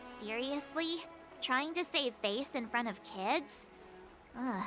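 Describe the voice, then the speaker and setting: A young swordswoman and teacher from a video game, brusque and distrustful. Her voice is high, and she challenges people bluntly. Music is clearly audible behind her. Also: lively intonation, takes it slowly, a bit bright, heavily acted. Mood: scornful. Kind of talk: scripted game dialogue.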